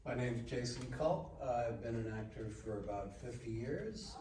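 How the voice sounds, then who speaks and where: A man's voice: mid-range voice, animated, unhurried, a little mellow. An older man, an actor and audiobook narrator, introducing himself. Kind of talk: self-introduction. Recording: noisy echoey room, distant mic.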